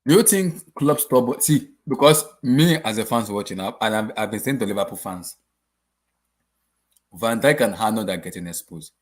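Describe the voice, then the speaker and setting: A man hosting a casual football discussion show, asking his guests questions in an animated way. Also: fairly low pitch, moderate pace. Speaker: host.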